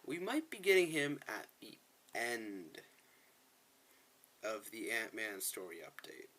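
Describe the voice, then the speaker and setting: A man's voice: on the low side, sing-song, slow pace, a bit bright, a little hiss or hum. A man giving casual gameplay commentary as a video host, chatting to viewers in a rambling, self-correcting way.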